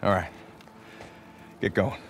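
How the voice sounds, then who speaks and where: An actor playing a brisk, good-natured patrol leader in a video game, commanding yet informal. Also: male voice, background noise, close to an everyday voice. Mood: firm, instructing. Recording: outdoor ambience with animal noises.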